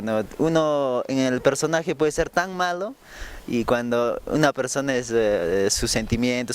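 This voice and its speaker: A man's voice: medium pitch, clear, resonant tone. A young man who works as a voice actor gives a reflective account in an interview, often ending phrases with a checking question. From Peru. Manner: frequent rhetorical tag questions. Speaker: radio drama voice actor.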